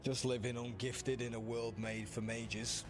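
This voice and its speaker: An actor playing a sardonic, wary underground rebel in a video game, speaking drily and bluntly. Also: male voice, medium pitch, level tone, measured pace, music in the background, lightly acted. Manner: dry, blunt. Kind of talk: video game cutscene acting.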